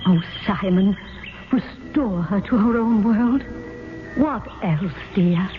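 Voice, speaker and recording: A woman's voice: medium pitch, slow, close to an everyday voice. An actress playing an emotional woman, reproachful yet tender, in a radio drama style. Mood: tearful, tender. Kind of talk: 1970s radio drama acting. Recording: dull old radio broadcast with music.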